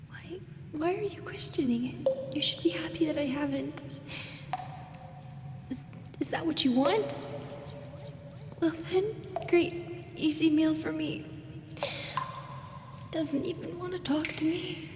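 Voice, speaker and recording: A woman plays a lonely female drider, a wounded monster girl with a high, breathy voice that often sinks to a whisper. She speaks slowly and haltingly in audio roleplay style, with long cavernous reverb around her. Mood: hurt, bitter. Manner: halting, emotional, repeating herself. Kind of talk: roleplay audio drama acting. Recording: echoey, long reverb, background noise.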